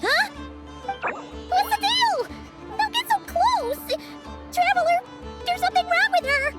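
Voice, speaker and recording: A tiny, excitable floating girl companion in a video game, squeaking at a very high pitch with wild swings of tone. She chatters about herself in the third person, with loud game music behind her. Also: measured pace. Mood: alarmed.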